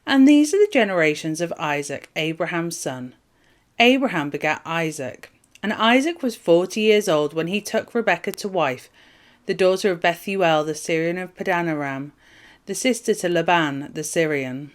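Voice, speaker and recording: A woman with a low voice reads from the Bible as a narrator. Her delivery is formal and measured, set out in short, solemn phrases. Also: lively intonation. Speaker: Bible reader. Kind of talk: scripture reading, formal narration.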